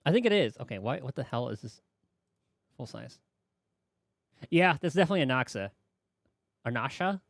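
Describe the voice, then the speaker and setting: A man doing casual live reaction commentary as a game streamer, excitable and thinking out loud. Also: medium pitch, moderate pace, vocal fry, pauses often, dry recording, studio quality. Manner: excitable, musing aloud.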